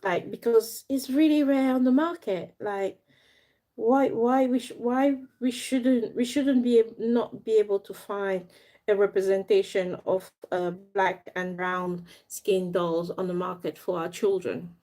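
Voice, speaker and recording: A woman's voice: mid-range voice, steady tone, measured pace, dark tone. Chatty young woman from Africa, live-streaming. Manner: chatty, rambling, greets and thanks viewers as she talks. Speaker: doll maker and fashion designer. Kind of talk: casual live-stream chat with viewers.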